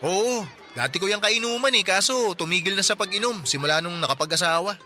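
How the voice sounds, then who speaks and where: A chatty young neighbor. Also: male voice, sits high, lively intonation, fairly quick, bright, forceful tone, faint music behind, heavily acted. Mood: friendly chatty. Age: 20s. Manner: chatty, joking, helpful. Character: friendly chatty young working-class neighbor man. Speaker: neighbor in a radio drama. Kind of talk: Tagalog radio drama acting.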